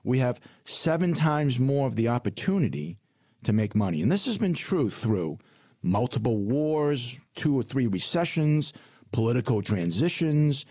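A man's voice: medium pitch, sing-song, measured pace, a little mellow, no echo, clean studio sound. A man co-hosting a retirement radio show as a financial advisor, talking in a conversational, reassuring way and leaning hard on key figures.

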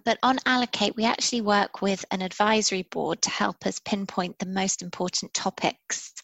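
A woman's voice: medium pitch, gravelly, fairly bright tone, no room sound, low-quality recording. A woman speaking as a podcast guest, explaining her work as a conference producer. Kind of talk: explanatory interview talk.

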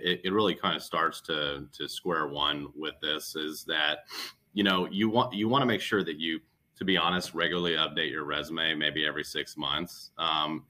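Male recruiter, very deep pitch with a bright edge, emphatic conversational panel delivery. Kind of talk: unscripted advisory panel talk.

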